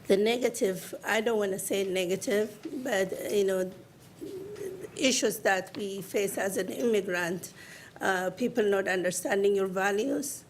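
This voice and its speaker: A woman from Africa and the Middle East speaks as an on a discussion panel. She talks very slowly, in halting phrases with many fillers, at a middling pitch. A faint noise runs underneath. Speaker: panel guest.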